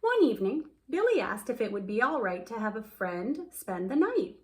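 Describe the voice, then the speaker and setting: A woman's voice: fairly high pitch, very animated, normal speed, a little mellow. A woman reading a picture book aloud, telling the story expressively and stretching words for emphasis. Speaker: children's storybook reader.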